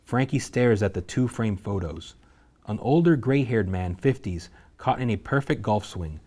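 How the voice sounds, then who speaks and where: A man reading a screenplay's stage directions aloud at a table reading, steady and vivid. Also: lowish voice, moderate pace.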